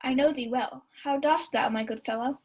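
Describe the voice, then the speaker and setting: A woman's voice: sits high, normal speed, husky. A young woman playing a genial, generous male duke in a radio-style Shakespeare play. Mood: amused, genial. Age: young adult.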